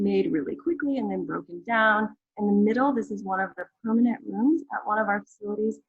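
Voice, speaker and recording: A woman's voice: mid-range voice, breathy edge, dry recording, narrow, tinny audio. A woman presenting a program on a video call, friendly and conversational. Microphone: video call mic.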